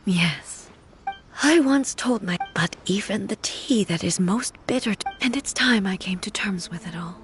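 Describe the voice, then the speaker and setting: A woman playing a dignified, ageless female ruler in a video game, speaking in a formal, measured, grave and reflective manner. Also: medium pitch, animated, lightly acted. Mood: wistful. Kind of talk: video game character dialogue. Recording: music under the voice.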